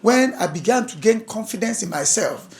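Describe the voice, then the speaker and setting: A man from Africa addressing a community dialogue, halting and repeating words, laughing between points. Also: high-pitched, lively intonation, a little mellow. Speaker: community speaker.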